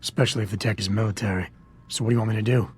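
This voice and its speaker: Low-voiced, brooding game hero. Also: male voice, very fast, breathy edge. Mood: terse, businesslike. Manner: deadpan, clipped. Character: brooding augmented male security officer, terse and guarded. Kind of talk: video game voice acting.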